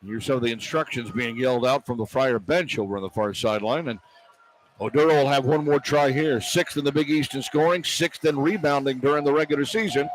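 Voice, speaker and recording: A man calling live basketball play-by-play on the radio, excitable and partisan, in clipped running descriptions. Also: mid-range voice, fairly quick, low-quality recording. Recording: arena noise behind broadcast commentary. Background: arena crowd ambience.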